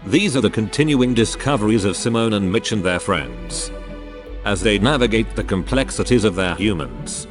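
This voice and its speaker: Low, expressive male narrator over music. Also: normal speed. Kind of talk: dramatic scripted science fiction narration. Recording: music under the voice.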